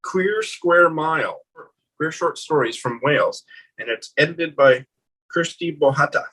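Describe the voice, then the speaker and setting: A middle-aged man in casual book chat, co-hosting and talking in a thoughtful, unhurried way. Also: fairly high pitch, sharp tone, slightly rough, low-quality recording. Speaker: booktuber co-host.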